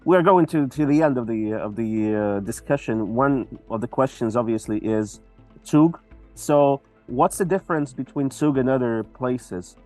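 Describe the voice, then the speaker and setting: A man interviewing a guest, asking lively questions. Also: mid-range voice, normal speed, soft background music.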